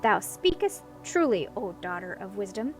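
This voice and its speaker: A woman voicing a huge stern male djinn in a storybook, booming, with grand archaic, pompous speech. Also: sing-song, moderate pace, faint music behind, lightly acted. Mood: grand, menacing.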